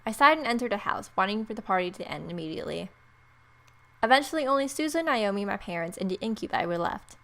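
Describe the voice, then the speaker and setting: A woman's voice: medium pitch, lively intonation, fast talker, a bit bright. A woman let's player narrating a visual novel aloud as she plays. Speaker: video game let's player.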